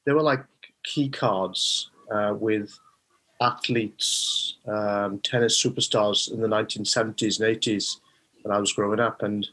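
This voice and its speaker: A man's voice: fairly low pitch, fairly even intonation, a little slow, bright, forceful tone, low-quality recording. Welsh man, hesitant and thoughtful. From Wales. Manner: hesitant, thoughtful, with many fillers. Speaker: guest, mind coach. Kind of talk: conversational interview.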